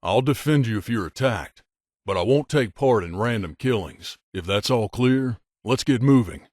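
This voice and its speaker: A man's voice: fairly low pitch, animated, moderate pace, a little mellow, no room sound, clean studio sound. A man playing a middle-aged mercenary bodyguard in a video game, a blunt and guarded loner who talks in a terse, matter-of-fact, aloof way. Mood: firm, businesslike. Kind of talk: video game character dialogue.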